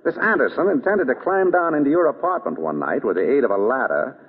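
An actor playing a shrewd, unruffled detective in a vintage radio drama, questioning in a wry, probing way. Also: male voice, medium pitch, expressive, quick pace, breathy edge, narrow, tinny audio. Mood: shrewd, pressing. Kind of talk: 1930s-40s radio drama acting. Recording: thin old radio broadcast.

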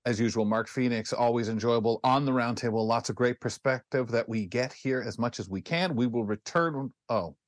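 Male co-host, mid-pitched, dry studio sound, folksy, teasing, casual banter. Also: normal speed, hardly any pauses, dry recording. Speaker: radio show co-host. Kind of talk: casual bantering show talk.

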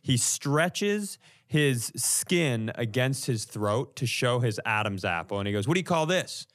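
A man with a mid-range voice and a very lively, swinging pitch. He tells stories casually as a comedy podcast co-host, acting out what people said in an animated way. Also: measured pace. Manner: animated, acts out quoted lines. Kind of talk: casual comic storytelling.

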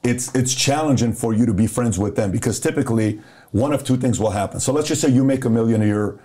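Middle-aged man from Iran, relaxed warm voice, casual podcast guest who plays out both sides of a story. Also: medium pitch, quick pace. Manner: animated storyteller who acts out dialogue.

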